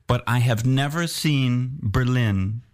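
A man, a guest musician on a cold rooftop, chatting playfully with jokes and halting restarts. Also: medium pitch, a little mellow. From United States. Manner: playful, joking, often laughing, halting restarts. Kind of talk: casual interview chat. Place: rooftop terrace outdoors, cold day.

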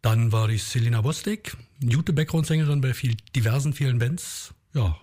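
A man from Berlin with a Berlin accent, a musician guest chatting in a relaxed way with many hesitations. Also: medium pitch, moderate pace. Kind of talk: interview chat.

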